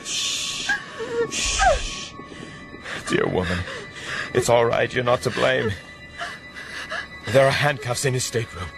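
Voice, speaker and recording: An actor playing an earnest, protective gentleman, wary but tender, in a radio drama. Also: mid-range voice, animated, slow, vocal fry, long pauses, soft background music, full character voice, low-quality recording. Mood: soothing.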